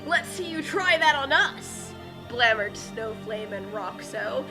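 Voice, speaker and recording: Female co-reader, high voice, unhurried comic dramatics, music underneath. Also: animated. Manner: exaggerated, theatrical when reading aloud. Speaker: co-host of a fan fiction reading. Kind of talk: dramatic comic reading with casual commentary.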